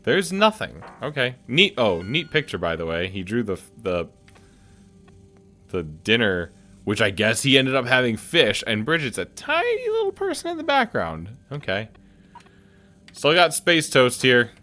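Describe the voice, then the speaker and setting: A man's voice: medium pitch, huge pitch swings, unhurried, pushed and bright, faint music behind. A man giving casual, chatty game commentary as host, playfully thinking aloud as he reacts. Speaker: gaming video host.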